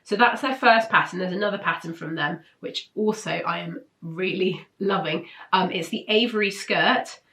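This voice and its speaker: Nasal British woman, bright and chatty. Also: fairly low pitch, normal speed, hardly any pauses. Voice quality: nasal, congested. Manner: chatty, informal, enthusiastic. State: ill with flu, blocked nose. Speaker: host of a weekly sewing pattern roundup. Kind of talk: casual chat review to camera.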